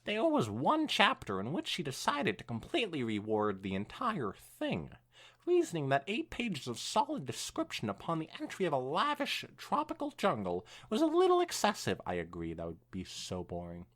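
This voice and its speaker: Expressive, low-voiced woman reading aloud. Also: normal speed. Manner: expressive, swooping storytelling delivery. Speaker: podcast co-host reading fan fiction aloud. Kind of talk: animated story reading with casual banter.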